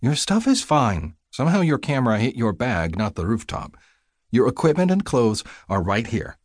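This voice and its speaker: Female nurse played by a male narrator: pitched up, husky, caring yet no-nonsense, gently scolding, for an audiobook. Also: very animated, moderate pace, dry recording, studio quality. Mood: chiding, teasing.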